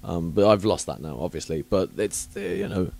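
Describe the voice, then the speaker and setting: Rambling, frank English podcast host. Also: male voice, deep voice, normal speed, gravelly, darkish tone. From England. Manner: frank, awkward, rambling with many fillers and laughs. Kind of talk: casual rambling chat.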